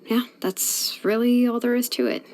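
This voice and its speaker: An actress voicing a laid-back, sleepy young female blacksmith in a video game, with a relaxed, drawling, casual way of talking. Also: a little high, normal speed, lightly acted.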